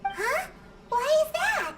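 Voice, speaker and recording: Squeaky, extremely high tiny fairy companion. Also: female voice, sing-song, slow, recorded from a distance, very noisy, heavily acted. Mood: curious, cheeky. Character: tiny, childlike, cheeky floating fairy companion. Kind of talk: cartoonish video game voice acting.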